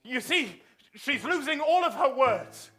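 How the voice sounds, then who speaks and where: A man's voice: very high-pitched, big pitch swings, measured pace, pushed and bright, slight background noise, exaggerated voice. A man playing an earnest, put-upon young hero in a live comic pantomime. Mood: earnest alarm.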